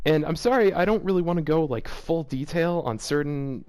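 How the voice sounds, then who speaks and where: A man hosting a casual fan fiction reading at home, reading aloud awkwardly and halting often with "like" fillers. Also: on the high side, expressive, darkish tone, clear, resonant tone, no room sound, studio quality. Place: home shared with several people.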